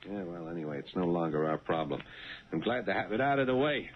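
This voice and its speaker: An actor playing an anxious, honest working-class cab driver in a 1970s radio drama, hesitant and stammering. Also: male voice, deep voice, expressive, fast. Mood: uneasy, puzzled. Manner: hesitant, stammering, nervously flustered. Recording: muffled band-limited 1970s radio recording.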